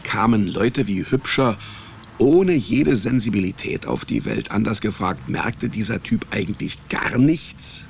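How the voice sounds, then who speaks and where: A man's voice: lowish voice, big pitch swings, measured pace, creaky, a little hiss or hum, exaggerated voice. An actor playing a sardonic, wisecracking male private detective, narrating his case in a dry, laconic, ironic way in a radio drama. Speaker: narrator and lead character. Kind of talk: first-person hard-boiled radio drama narration. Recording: studio radio drama with music behind.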